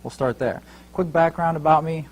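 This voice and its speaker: Young man with a higher-pitched voice. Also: fairly even intonation, normal speed, a little hiss or hum. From Ukraine, later Fort Wayne, United States. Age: 20s. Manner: informal, talks directly to the audience. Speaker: entrepreneur giving a talk. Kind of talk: informal motivational public talk.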